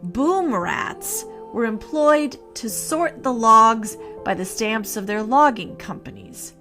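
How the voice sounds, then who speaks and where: A woman podcast host telling a scripted ghost story in a theatrical, measured way with dramatic pauses. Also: on the high side, lively intonation, pushed and bright. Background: music.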